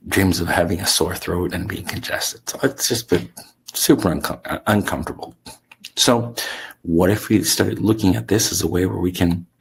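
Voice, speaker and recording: A man with a gravelly, congested voice, talking as a sleep coach in a relaxed, reflective way with fillers and restarts. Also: low voice, a little hiss or hum. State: sick, congested with sore throat. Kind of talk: solo talk to camera.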